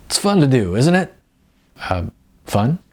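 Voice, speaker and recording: A man voicing a modest, good-natured middle-aged amateur actor who speaks haltingly, in a storybook reading. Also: medium pitch, big pitch swings, pitched above the performer's own voice. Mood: cheerful. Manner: halting, hesitant, with ums and stumbles.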